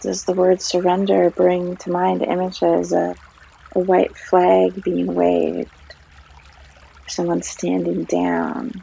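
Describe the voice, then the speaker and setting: Female meditation guide, gentle and unhurried, heavy vocal fry, husky breath, stream trickling behind a slightly dull recording. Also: on the low side, monotone. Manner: gentle, unhurried, long pauses between phrases. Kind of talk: guided meditation, soothing narration. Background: flowing stream water.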